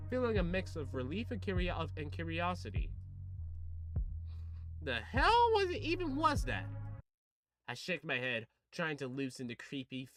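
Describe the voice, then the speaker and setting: A video game host with a bright, forceful voice reads a visual novel aloud. The pitch swings widely and the delivery is slangy and casual, with small stumbles. Music plays softly behind. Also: dry recording. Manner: slangy, animated, stumbles while reading.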